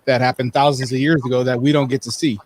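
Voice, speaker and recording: A man with a Mexican accent co-hosting a casual chat over a video call, teasing the others and halting as he talks. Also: medium pitch, little pitch movement, crowd noise. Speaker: podcast co-host.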